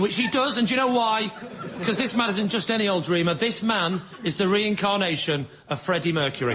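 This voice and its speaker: A man bantering as a comedy panel guest, with a mock-indignant manner. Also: on the high side. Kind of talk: comic anecdote and banter. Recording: noisy comedy show recording.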